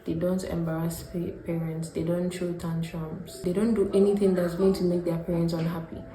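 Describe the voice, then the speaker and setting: Low-pitched Ghanaian woman in a roomy space. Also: level tone, normal speed, dark tone, slight background noise. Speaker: video essay host. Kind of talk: scripted reflective narration. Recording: roomy echo, music behind. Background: background music.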